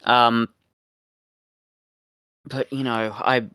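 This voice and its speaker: A man co-hosting a review podcast in casual, opinionated chat, feeling his way through sentences haltingly. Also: medium pitch, flat delivery, sharp tone, clean tone, no echo, rough recording. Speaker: podcast co-host reviewing audio dramas.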